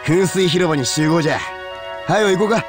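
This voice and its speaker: A man playing a rough, blunt young man who speaks in gruff, folksy dialect in an anime-style Japanese game. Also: a little high, normal speed, over music, lightly acted. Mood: casual.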